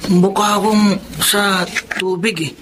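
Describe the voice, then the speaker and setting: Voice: mid-range voice, level tone, takes it slowly, background noise, natural voice. A performer voicing a shy, illiterate teenage boy who talks hesitantly and politely in a radio drama. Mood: timid.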